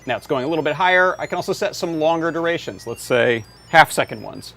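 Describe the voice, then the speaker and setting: A man's voice: a little high, animated, fast talker, creaky, fairly bright tone, soft background music. A man hosting a live electronics workshop, explaining demonstrations in a casual way. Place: indoor workshop.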